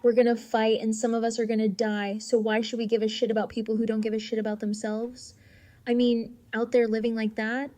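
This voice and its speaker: A woman's voice: on the high side, flat delivery, brisk, warm tone, no room sound. A woman acting a blunt, hard-edged young character in a fan audio drama.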